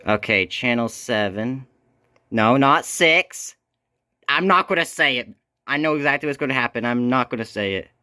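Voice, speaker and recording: A man with a bright, forward voice and medium pitch talks off the cuff as an amateur video host. His manner is informal, muttering with some exasperation as he works. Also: expressive, moderate pace, smooth. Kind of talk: casual unscripted chat.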